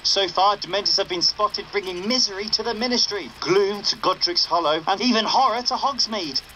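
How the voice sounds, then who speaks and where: A man's voice: high-pitched, brisk, sharp tone, a little hiss or hum, rough recording. A man playing an earnest young wizard reading an underground radio bulletin in a video game. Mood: grave, urgent.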